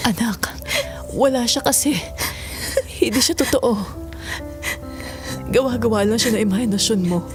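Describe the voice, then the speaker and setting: A woman's voice: on the high side, unhurried, pauses often. Firm, worried mother. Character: concerned, firm mother of a troubled child. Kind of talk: audio drama acting. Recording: music under the voice.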